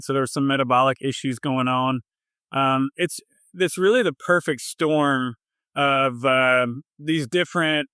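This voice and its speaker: A man with a Southern US accent telling his personal story in a casual interview, informal and a little halting in his phrasing. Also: mid-range voice, sharp tone, smooth, dry recording, clean studio sound. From southern United States. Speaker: health coach, podcast guest.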